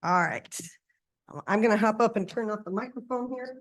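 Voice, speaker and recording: A woman with a mid-range voice chairs a township board meeting, moving through the agenda briskly. Her manner is friendly but procedural, calling items and inviting public comment in a meeting room. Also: mid-range voice.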